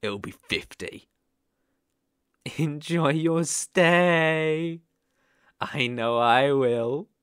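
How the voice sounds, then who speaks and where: A man's voice: fairly high pitch, very slow, pauses often, fairly bright tone, smooth, no room sound, clean studio sound. A man voicing a sadistic, possessive kidnapper in an ASMR roleplay, taunting and menacing with a mock-sweet manner. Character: sadistic, possessive male psycho yandere kidnapper.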